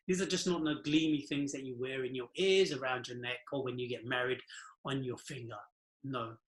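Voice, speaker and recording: A man's voice: mid-range voice, sharp tone. Earnest middle-aged man in an echoey room. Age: 40s. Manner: earnest, rhetorical questions, nervous laughs. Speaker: finance commentator. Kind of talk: casual monologue to camera.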